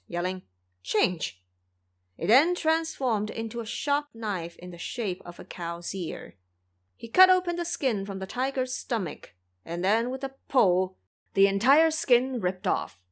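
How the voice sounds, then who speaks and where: A woman delivers scripted storytelling narration for an audio drama. Her reading is expressive, with pitch that moves widely and keeps the phrases lively.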